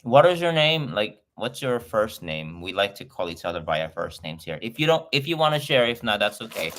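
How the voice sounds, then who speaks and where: Chatty man, lowish voice. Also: big pitch swings, a little fast, fairly bright tone. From Philippines. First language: Filipino. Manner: chatty and effusive, greeting viewers. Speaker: livestream host. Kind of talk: casual livestream chat with viewers. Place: home in Los Angeles.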